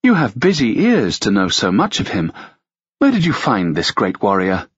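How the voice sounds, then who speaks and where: A man's voice: on the low side, wildly swooping pitch, normal speed, slightly rough, dry recording, muffled recording, pitched above the performer's own voice, full character voice. A man voicing a haughty medieval princess who asks light, probing questions.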